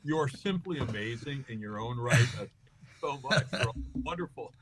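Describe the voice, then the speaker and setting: A man's voice: mid-range voice, lively intonation, moderate pace, a crowd in the background. A middle-aged American man, a comedian guest, telling rambling, joking anecdotes full of fillers in a casual interview. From United States.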